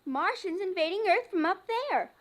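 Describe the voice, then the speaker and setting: A woman playing an excited, bossy little girl in an old-time radio drama, loud and insistent. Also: very high-pitched, normal speed. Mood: earnest, secretive.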